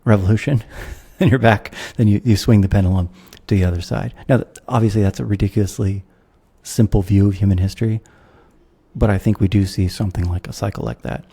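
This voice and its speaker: A man's voice: on the low side, steady tone, a little fast, gravelly, mellow, slightly rough. An American man, a guest philosopher, talking through ideas in a thoughtful, professorial way with the odd wry laugh. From United States. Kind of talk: conversational interview.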